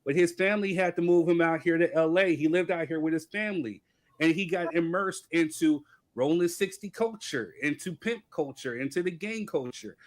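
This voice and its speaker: Male podcast panelist from California, higher-pitched voice, emphatic and insistent in casual debate. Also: normal speed. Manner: emphatic, repeats "you know what I'm saying".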